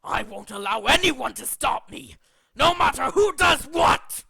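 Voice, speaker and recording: A megalomaniac male cult leader delivering a theatrical video game monologue. The voice is high-pitched for a man, sharp and forceful, recorded close and dry. It is pompous and contemptuous, shifting between hushed scheming and menacing rants. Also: lively intonation, unhurried, gravelly, no room sound, exaggerated voice.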